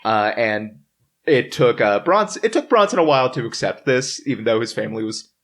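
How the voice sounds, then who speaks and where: A man with a middle-pitched voice hosts a casual podcast chat. He speaks haltingly, with a joking, laughing manner. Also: animated, fairly bright tone. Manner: halting, jokey, laughs often. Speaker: podcast host. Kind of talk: casual chat, retelling a life story. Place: in-person table recording.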